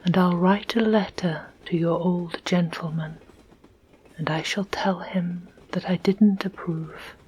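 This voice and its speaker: A tender yet stern mother from an old children's story speaks entirely in a hushed whisper, put on by a woman narrator. Her voice sits a little low and moves very slowly. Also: fairly even intonation, a little hiss or hum, rough recording. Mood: tender, firm. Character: gentle stern Victorian mother, loving.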